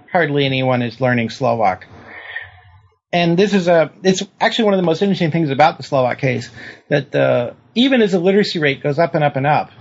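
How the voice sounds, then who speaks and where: Male historian being interviewed, fairly high voice, precise and explanatory, conversational academic register, slightly muffled lo-fi sound. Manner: precise, explanatory, checks understanding. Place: lives in New Zealand.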